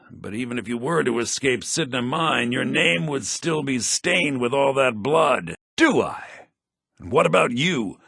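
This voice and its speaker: An actor playing a bitter, vengeful rebel leader from a prison mine in a fantasy video game, raspy and menacing, declaiming his lines. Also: on the high side, no room sound, clean studio sound, heavily acted. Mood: accusing. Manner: menacing, declamatory, swinging to shouts.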